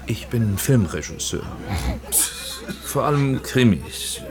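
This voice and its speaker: A man's voice: mid-range voice, lively intonation, takes it slowly, crowd noise. An actor playing a guarded middle-aged film director and father who answers carefully and evasively in a radio drama.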